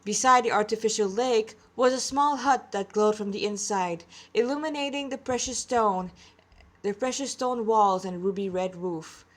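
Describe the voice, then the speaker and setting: A woman's voice: mid-range voice, normal speed. A woman reading her own story aloud as narrator, with casual explanatory asides.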